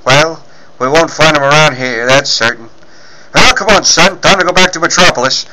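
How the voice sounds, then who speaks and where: A man voicing a brisk, fatherly, blustery newspaper editor in 1940s radio serial style. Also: fairly high pitch, sharp tone, rough recording. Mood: brisk, resigned.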